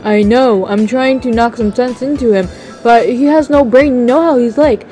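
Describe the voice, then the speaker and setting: Woman playing earnest teenage son, pleading, higher voice, amateur game roleplay. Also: moderate pace, mellow, soft background music, close to an everyday voice, low-quality recording. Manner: pleading, emphatic.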